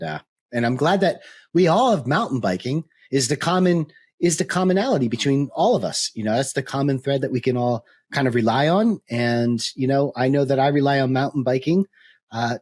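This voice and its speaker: A man co-hosting a relaxed podcast, agreeable and quick to chime in with short remarks. His voice is a little husky, and his pitch moves around with a lively lilt. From Delaware County, Pennsylvania, USA. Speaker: podcast co-host. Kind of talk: casual chat.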